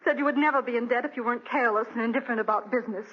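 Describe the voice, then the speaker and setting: A woman's voice: fairly high pitch, racing, slightly rough. An actress playing an anxious, devoted young wife and mother, tender and pleading, in a 1940s radio drama. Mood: distressed. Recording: dull restored 1940s radio recording.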